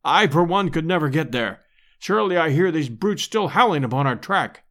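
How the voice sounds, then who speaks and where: Male reader as tired older academic, dry, plain-spoken, lively pitch, storybook adventure. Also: normal speed. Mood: weary, gloomy. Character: dry, weary older professor on an expedition. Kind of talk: dramatised audiobook character reading.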